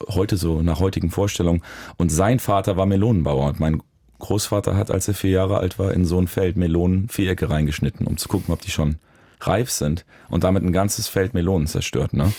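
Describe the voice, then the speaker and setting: A man, a musician, talking reflectively in an interview, searching for words with pauses and restarts. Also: deep voice, warm tone, no room sound. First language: German. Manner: thoughtful, searching, with fillers and restarts. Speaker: musician guest.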